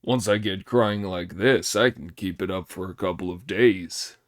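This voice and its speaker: A man's voice: deep voice, measured pace, no room sound, studio quality, voice pushed down. A man reading an audiobook, voicing a lazy, sly male pig-spirit who mocks and grumbles. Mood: mocking. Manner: mocking, grumbling.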